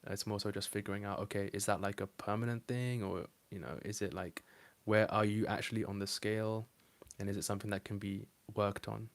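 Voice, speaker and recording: A man's voice: fairly low pitch, steady tone, a little fast. A young man coaching on dating, talking casually to camera and answering viewer comments, loose and rambling with many fillers.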